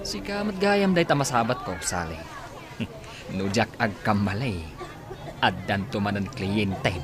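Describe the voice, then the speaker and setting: Radio drama, loud music behind, scheming deferential underling, Filipino accent, animated pitch. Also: male voice, measured pace, a crowd in the background.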